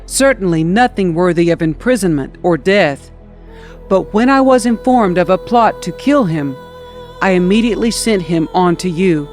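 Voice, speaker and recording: A woman's voice: fairly low pitch, expressive, moderate pace, a little mellow, soft background music. A woman reading scripture aloud as a scripted audiobook narration. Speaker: scripture reader.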